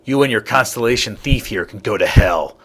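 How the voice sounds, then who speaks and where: A man's voice: fairly low pitch, animated, fairly quick, a bit bright, husky. An actor playing a hostile, proud man guarding his family's legacy in a video game, combative and scornful. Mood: scornful anger. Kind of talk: video game character dialogue.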